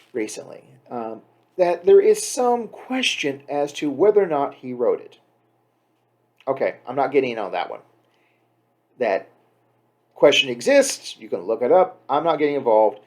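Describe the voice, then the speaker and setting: A man hosts with an informal, unscripted introduction. His voice sits at an average pitch. He talks chattily, leaning on key words and dropping in wry asides. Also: big pitch swings, unhurried, long pauses, darkish tone. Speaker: host introducing a poem reading. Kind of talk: casual off-the-cuff spoken introduction.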